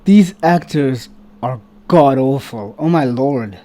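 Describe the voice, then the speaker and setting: A man's voice: medium pitch, slow pace, music in the background. A man hosting a reaction video, talking casually in a sarcastic, exasperated way and swearing.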